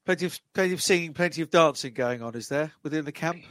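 A man hosting a sports podcast, interviewing guests in a casual, halting way that often repeats words. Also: on the high side, a bit bright, no room sound. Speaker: podcast host.

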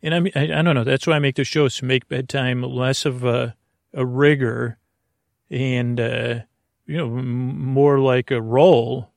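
Gravelly, creaky man rambling at bedtime. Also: medium pitch, fairly even intonation, dry recording, studio quality. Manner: hesitant, halting, trailing off into tangents. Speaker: host of a sleep podcast. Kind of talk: rambling, meandering bedtime monologue.

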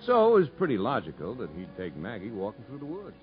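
A man's voice: mid-range voice, huge pitch swings, quick pace, soft background music. An actor playing a kindly, reflective man who narrates family history to a boy in a 1950s American radio drama. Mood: storytelling. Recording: dull 1950s radio broadcast recording.

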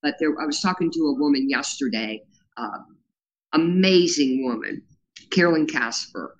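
A woman's voice: low-pitched, animated, moderate pace, lo-fi sound. A woman being interviewed as a grassroots organizer, talking in an earnest, rambling way with many fillers and a powerful voice. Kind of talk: casual interview talk.

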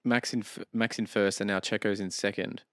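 A man co-hosting a casual sports podcast, laid-back and quick to laugh. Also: fairly low pitch, steady tone, no echo, clean studio sound. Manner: laid-back, laughs often, says "mate". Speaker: podcast co-host. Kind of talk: casual chat.

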